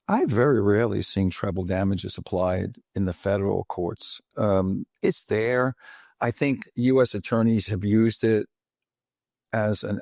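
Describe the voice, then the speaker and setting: A man from the Bronx, hosting a podcast interview in an animated, chatty way with many fillers and restarts. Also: fairly low pitch, creaky, no room sound, studio quality. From the Bronx, New York. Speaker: podcast host. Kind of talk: conversational interview.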